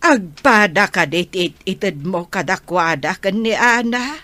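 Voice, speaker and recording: A woman's voice: mid-range voice, lively intonation, a little slow, sharp tone, dry recording. A woman playing a scolding, suspicious elderly mother and wife in a radio drama.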